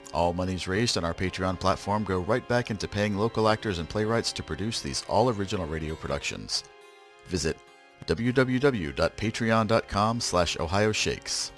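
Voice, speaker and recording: Deep-voiced guy announcing a radio play, lots of swing in his delivery, light music under him. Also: fairly bright tone. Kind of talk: scripted old-time radio announcing.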